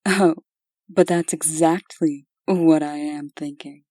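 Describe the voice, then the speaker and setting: A cool angel huntress, acted by a woman for a comic dub. Her voice is deep and dark, and she takes her time. She is poised and teasing, with menace underneath. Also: fairly even intonation, takes it slowly, dry recording, clean studio sound. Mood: smug, teasing.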